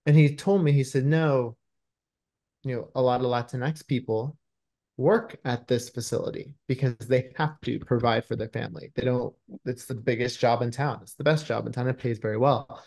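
A man in his thirties speaks with a noticeably gravelly, creaky edge at an average male pitch. He explains things earnestly and conversationally, as an interviewed writer on a video call. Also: fairly even intonation, normal speed, darkish tone. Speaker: screenwriter being interviewed. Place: indoor room at home, video call. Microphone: video call microphone.

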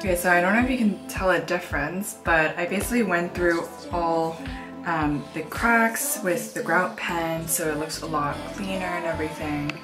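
Lowish-voiced woman vlogging in echoey rooms. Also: moderate pace. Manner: informal, thinking aloud while doing tasks. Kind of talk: casual vlog chat. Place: empty apartment and small tiled bathroom, indoors. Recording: echoey empty rooms, background music.

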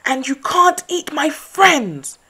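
A woman voicing a grumpy, easily exasperated little male mouse who grumbles and squeaks in a children's storybook. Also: sing-song, slow pace, bright, forceful tone, heavily acted. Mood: angry, defiant. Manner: grumbling, spluttering, squeaky when upset.

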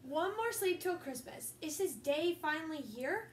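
A woman's voice: sits high, animated, moderate pace. A young girl chatting to viewers and reading a storybook aloud. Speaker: host and storybook reader. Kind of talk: casual vlog and read-aloud storytelling. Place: echoey room, some distance from mic. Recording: roomy echo, faint background noise.

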